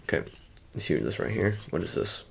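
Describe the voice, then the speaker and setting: A man speaks as an ASMR creator in a very low voice thick with gravelly fry. His pitch moves around in a lively way as he rambles casually to the viewer, often stopping and correcting himself. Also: noisy background. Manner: halting, rambling, self-correcting.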